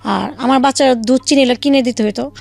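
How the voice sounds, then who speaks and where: A young woman from Bangladesh, telling her life story to an interviewer in a halting way with many fillers. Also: sits high, vocal fry, darkish tone, faint music behind. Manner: halting, many fillers and restarts, re-enacts dialogue. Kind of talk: casual spoken storytelling.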